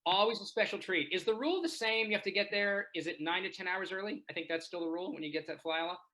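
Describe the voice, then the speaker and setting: A man's voice: high voice, quick pace, pushed and bright, poor audio. A man from Israel doing a chatty stand-up set from his home over a video call, constantly checking in with the audience. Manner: chatty, keeps asking the audience "right?". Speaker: stand-up comedian.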